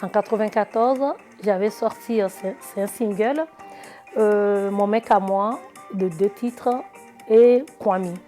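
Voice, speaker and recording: A woman's voice: medium pitch, slow, warm tone. A woman singer answering interview questions about her background and music. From Chad or Togo.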